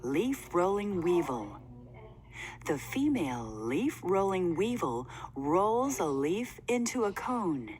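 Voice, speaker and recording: A woman reading a children's nonfiction book aloud in a deliberate, simply phrased way for young listeners. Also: fairly low pitch, very animated, darkish tone. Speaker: read-aloud narrator. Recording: faint background noise.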